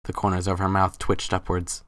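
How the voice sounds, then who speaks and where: A man's voice: sits low, completely flat delivery, normal speed, fairly bright tone, no room sound. A man narrating a scripted story as a dramatic reading.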